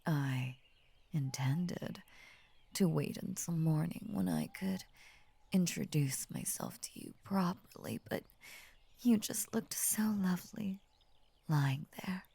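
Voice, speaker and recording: A half-snake lamia, played by a woman, doting and unnervingly possessive, in an intimate ASMR roleplay. Her voice is breathy and mellow, often dropping to a whisper with a crackly fry at the edges. She speaks slowly and coaxingly, in formal, soothing phrases. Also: deep voice, sing-song, no room sound, heavily acted. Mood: adoring.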